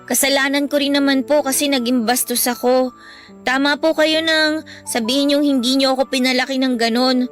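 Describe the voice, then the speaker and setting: A woman's voice: sits high, fairly even intonation, normal speed, faint music behind, lightly acted. A woman playing a respectful, devoted young student daughter in a Filipino radio drama, polite and earnest. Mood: contrite, worried.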